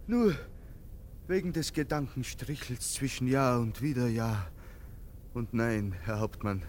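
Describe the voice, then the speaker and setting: An actor playing a poor, submissive soldier who broods intensely in a halting manner, in a German radio drama. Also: male voice, sits high, fairly bright tone, heavily acted.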